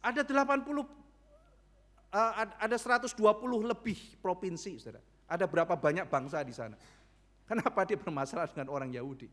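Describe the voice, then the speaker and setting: A man preaching a sermon as pastor, speaking, asking the congregation rhetorical questions and acting out biblical characters in quick dialogue. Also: high-pitched, lively intonation, a bit bright. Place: church.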